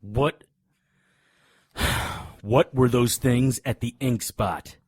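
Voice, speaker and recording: An actor playing a hot-tempered private detective in a noir radio drama, gruff, sardonic and confrontational. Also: male voice, mid-range voice, drawn-out words, darkish tone, no echo, clean studio sound. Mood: tense.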